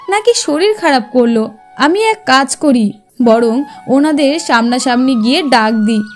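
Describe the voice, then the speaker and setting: A woman voicing a kind, spirited young forest girl, earnest and confident, in a cartoon fairy tale. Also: high-pitched.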